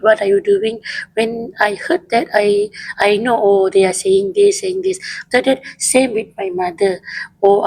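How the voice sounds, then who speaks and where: A woman's voice: mid-range voice, creaky, darkish tone, husky, dry recording, low-quality recording. A woman whose first language is Mandarin, talking casually in simple, broken English with many fillers. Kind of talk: casual podcast chat.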